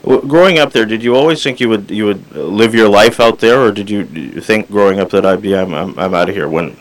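A man from Canada hosts a radio interview in a low voice. He asks his questions in an easy, conversational way and presses for detail. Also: a little fast, low-quality recording.

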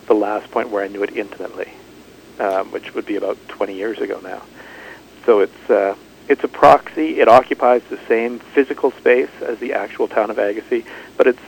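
A middle-aged man from Canada talks in a low, gravelly voice. He speaks thoughtfully in a radio interview, restarting his phrases often. A faint hiss sits under the recording. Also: low-quality recording. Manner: thoughtful, halting, many restarts. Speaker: author.

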